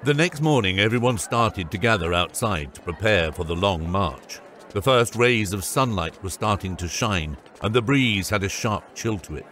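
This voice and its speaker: A man's voice: on the low side, animated, moderate pace, fairly bright tone, a little hiss or hum. A man giving scripted narration for an epic fantasy audiobook. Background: background music.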